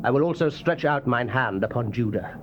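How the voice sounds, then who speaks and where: An actor playing a fiery prophet proclaiming God's wrath in solemn, archaic, declamatory scripture style. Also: male voice, mid-range voice, expressive, a little fast, lightly acted. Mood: wrathful, proclaiming. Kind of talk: dramatized scripture reading, archaic King James English. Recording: muffled, noisy old cassette tape.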